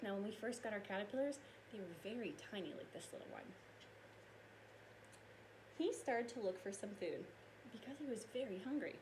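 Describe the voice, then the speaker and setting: A woman teacher reading aloud and explaining science to young children in an expressive, explanatory way. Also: mid-range voice, fairly quick, pauses often, noisy background.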